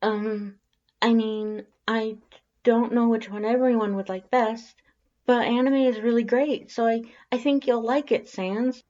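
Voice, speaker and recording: A man's voice: extremely high pitch, fairly even intonation, a little slow, darkish tone, highs cut off, pitched above the performer's own voice. A man voicing a timid, nervous female monster in an audio story, stammering and hesitant. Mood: nervous, eager.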